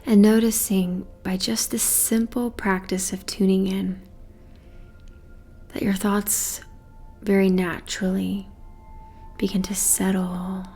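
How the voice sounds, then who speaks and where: Slow, even-toned woman guiding meditation. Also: medium pitch, soft background music. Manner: soothing, breathy phrase endings, measured pauses. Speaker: meditation guide. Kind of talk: guided meditation.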